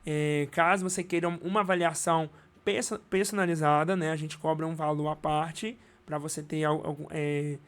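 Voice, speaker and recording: A man with a Brazilian Portuguese accent teaching singing in a live online class, talking casually with many restarts and quick checks. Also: a little high, flat delivery. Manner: casual and rambling, many restarts and tag questions.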